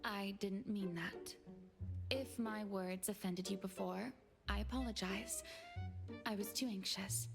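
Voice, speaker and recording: A woman's voice: medium pitch, steady tone, unhurried, vocal fry. An actress playing an anxious businesswoman client in a video game, speaking in a formal, direct and serious way. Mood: apologetic. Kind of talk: English video game voice acting. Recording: game music behind the voice.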